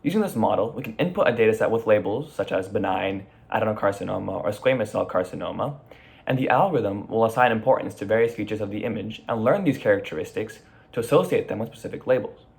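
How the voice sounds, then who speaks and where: A man's voice: fairly low pitch, expressive, quick pace, vocal fry, darkish tone. A teenage boy giving a prepared student project presentation, reading from a script in a room at home over a laptop mic.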